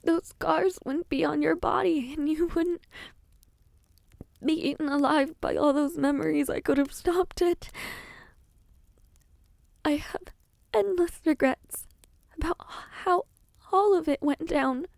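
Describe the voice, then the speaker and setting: A woman playing an anguished, self-loathing young princess in a dramatic audio roleplay, speaking in a formal, halting way. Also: high voice, gravelly, a little mellow, dry recording, heavily acted. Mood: regretful, distraught.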